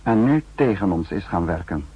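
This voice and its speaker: An actor playing a calculating senior spymaster in a 1960s radio drama, speaking in a measured, probing, formal way. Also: male voice, sits low, lively intonation, no room sound, exaggerated voice. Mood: cool, calculating. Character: calculating male spymaster, senior protector of secret service. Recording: dull, faintly husky 1960s radio recording.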